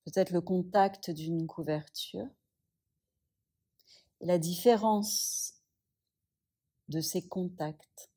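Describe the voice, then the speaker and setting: A woman yoga teacher guiding a yoga nidra relaxation, speaking softly and soothingly with long pauses. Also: lowish voice.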